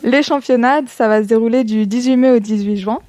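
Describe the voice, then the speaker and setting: A woman's voice: fairly high pitch, brisk, a little mellow. A woman aged twenty-one from eastern France, explaining things informally in an interview. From Bourg-en-Bresse, eastern France. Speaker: civic service volunteer.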